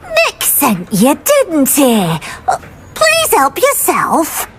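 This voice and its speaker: A woman voicing an eager, servile little female house-elf in a video game, with a fawning, drawn-out delivery. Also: high-pitched, huge pitch swings, heavy background noise, full character voice. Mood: eager, obliging. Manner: fawning, refers to self in third person, drawn-out delivery. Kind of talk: video game character acting.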